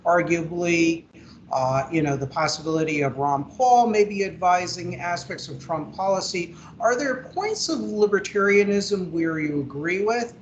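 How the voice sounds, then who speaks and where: A man hosting an interview, asking long questions in a measured way. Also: high-pitched, highs cut off, a little hiss or hum. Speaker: host.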